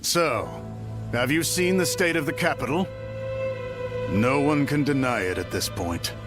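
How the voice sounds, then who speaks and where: A man's voice: mid-range voice, animated, measured pace, natural voice. A man voicing a confident, courteous noble commander in a video game, speaking in a self-assured, formal way. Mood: assured, admiring. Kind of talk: video game dramatic acting. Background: faint music.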